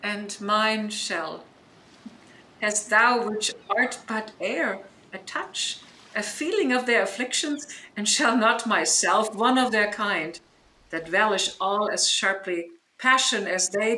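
A woman plays a commanding sorceress in a Shakespearean audio drama. Her bright, forceful voice moves slowly and grandly, in a roomy echo with faint noise. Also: medium pitch. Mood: resolute, reflective. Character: commanding, vengeful yet merciful female sorceress. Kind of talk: Shakespearean radio play acting.

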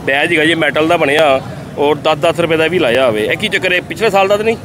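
A man's voice: fairly high pitch, fast, background noise. A man with a Lahori Punjabi accent hosting a chatty, enthusiastic street vlog in a busy outdoor bazaar, talking straight to his viewers. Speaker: vlogger touring a market. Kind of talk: lively on-the-street market vlog. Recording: busy market crowd behind.

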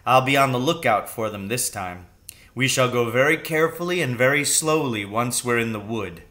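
A man voicing a warm, excitable father in a storybook read-aloud, hearty and reassuring. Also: mid-range voice, normal speed.

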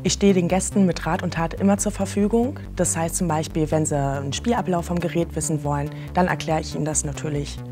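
A woman in her twenties, a trainee, speaking friendly and clearly in an interview. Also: low voice, fairly even intonation, fairly quick, hardly any pauses, music in the background. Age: 20s. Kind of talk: interview statement.

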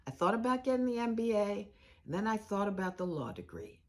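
An older actress playing a candid law school dean reminiscing in a conversational monologue, emphatic and given to repeating phrases. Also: female voice, medium pitch, sing-song, a little fast, lightly acted. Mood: reflective. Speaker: actor in a dramatized narrative. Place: room with bookshelves.

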